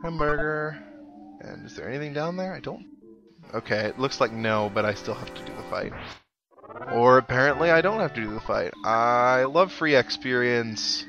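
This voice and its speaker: A man's voice: mid-range voice, animated, moderate pace, creaky. Man rambling through casual gameplay commentary over music. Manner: rambling, musing aloud, reads on-screen text. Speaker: let's play host. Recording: game music behind the voice. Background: video game music.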